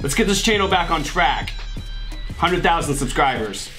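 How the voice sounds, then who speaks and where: Animated, sarcastic young man, high-pitched. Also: normal speed, faint music behind. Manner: exasperated, sarcastic, animated rants. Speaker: YouTuber host. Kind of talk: comedy sketch. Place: home room with posters, indoors.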